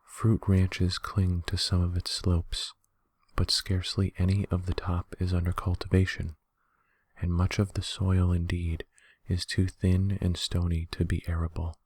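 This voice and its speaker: A man reading a horror story as a narrator in a quiet, measured, soft-spoken ASMR style. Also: sits low, monotone, husky, no echo. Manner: quiet, measured, hushed delivery.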